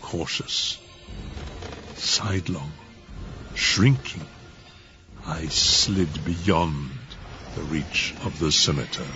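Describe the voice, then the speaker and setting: An actor playing a terrified, desperate prisoner of the Inquisition, narrating a gothic horror tale theatrically and haltingly. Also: male voice, a bass voice, over music, full character voice. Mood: tense dread. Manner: theatrical, halting delivery with anguished outbursts. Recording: dull, noisy lo-fi recording.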